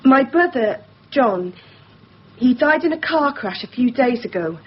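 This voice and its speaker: A woman's voice: high-pitched, animated, a little slow, husky, exaggerated voice. An actress playing a nervous, reluctant woman in a radio drama, with an anxious, hesitant delivery. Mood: reluctant, rehearsed. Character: nervous, reluctant woman drawn into a murder plot. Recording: dull, muffled recording.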